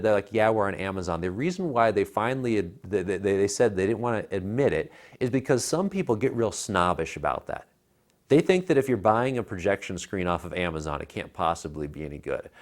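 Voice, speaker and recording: An American man in his thirties co-hosting a tech show, explaining gear in a casual, conversational way. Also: on the low side. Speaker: tech show co-host reviewing home theater gear. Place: living room at home, indoors. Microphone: lapel mic.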